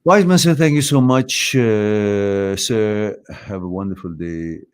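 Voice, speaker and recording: An older man in his sixties speaks in a very low voice with an Iranian accent. He hosts an informal, philosophical talk. His delivery is reflective and halting, as he works out his thoughts while speaking. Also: clean tone. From Iran. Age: 60s. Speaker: host of a live talk, answering viewer questions.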